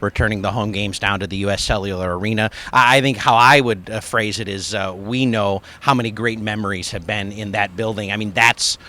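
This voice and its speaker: Bright-voiced man, lowish pitch, sports interviewer. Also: steady tone, brisk, hardly any pauses. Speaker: sports information director hosting a preview chat.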